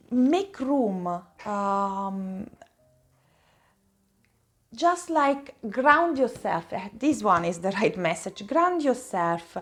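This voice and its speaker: A woman with an Italian accent, a native Italian speaker, giving an improvised tarot reading to viewers, searching for words and repeating phrases for emphasis. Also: mid-range voice. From Italy. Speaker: tarot reader, host.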